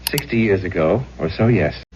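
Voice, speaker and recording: An actor playing a charming, mysterious middle-aged gentleman with genteel, old-fashioned phrasing in a vintage radio drama. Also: low-pitched, expressive, normal speed. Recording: dull, noisy old radio recording with hum.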